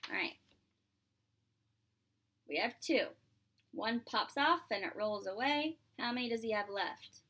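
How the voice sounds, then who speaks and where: Slow, higher-voiced woman reading to preschoolers. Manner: expressive, drawn-out, playful exclamations; simple explanations with frequent questions to the listener. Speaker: pre-K teacher reading aloud. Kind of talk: read-aloud to young children with questions and singing.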